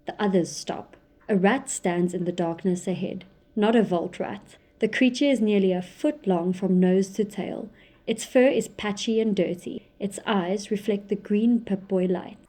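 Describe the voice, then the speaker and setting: A woman narrating an audio drama in a measured, descriptive way. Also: medium pitch, warm tone.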